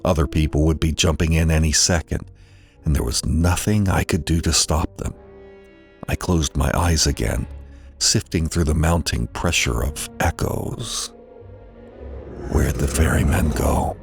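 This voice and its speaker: A man's voice: rumbling low, unhurried, creaky. A man narrating a dramatized first-person horror story, with music behind him.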